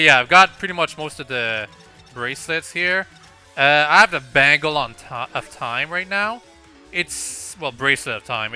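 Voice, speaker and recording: A man's voice: medium pitch, pushed and bright. A man hosting a casual video game playthrough, thinking aloud in a hesitant, rambling way. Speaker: gaming video host. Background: video game music.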